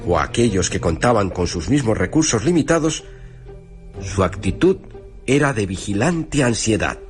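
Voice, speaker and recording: An actor playing an urbane, wry gentleman narrator in a literary radio play. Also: on the low side. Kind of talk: scripted literary storytelling in radio drama. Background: prominent music.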